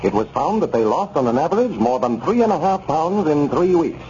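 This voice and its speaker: A man's voice: a little high, sing-song, brisk, mellow. A man announcing and reading a scripted 1930s radio advertisement. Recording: muffled 1930s radio recording, clear noise.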